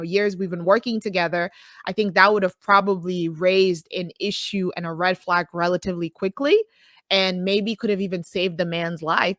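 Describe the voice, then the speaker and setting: A woman's voice: fairly low pitch, fairly bright tone, dry recording, clean studio sound. A woman from Nigeria, a podcast co-host chatting casually and retelling a story with asides.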